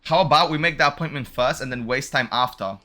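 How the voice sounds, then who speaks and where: A man's voice: medium pitch, fast talker, pushed and bright, faint music behind. A man giving casual, joking game commentary with slang and swearing, sometimes reading dialogue aloud. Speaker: game streamer.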